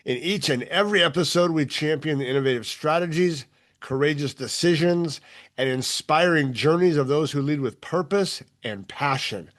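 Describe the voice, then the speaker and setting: A man's voice: a little high, normal speed, fairly bright tone. A man hosting a leadership podcast, reading a polished, upbeat scripted intro and then interviewing his guest. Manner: upbeat, polished promotional delivery. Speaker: podcast host.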